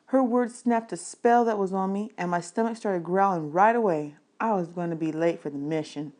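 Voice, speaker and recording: Low-pitched woman reading a children's novel aloud. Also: normal speed, a little mellow. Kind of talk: audiobook narration of a children's novel.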